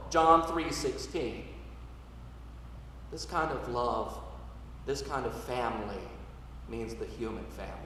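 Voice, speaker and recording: A man preaching a sermon as a priest, speaking in measured, deliberate phrases with emphatic pauses. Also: a little high, fairly bright tone. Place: large reverberant church interior. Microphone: distant microphone. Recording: echoey church, distant mic, noisy hiss.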